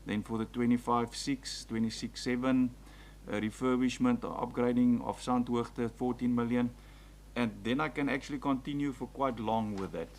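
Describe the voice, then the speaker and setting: A man's voice: medium pitch, moderate pace, slight background noise. A man, the executive mayor, reading out budget figures at a council meeting with fillers. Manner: reads out figures in a steady list with fillers. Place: council chamber.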